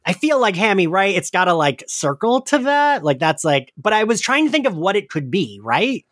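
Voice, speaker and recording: A man co-hosting a casual recap chat, talking in an animated, conversational way with the odd swear word. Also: high-pitched, normal speed, no room sound, studio quality. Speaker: podcast co-host.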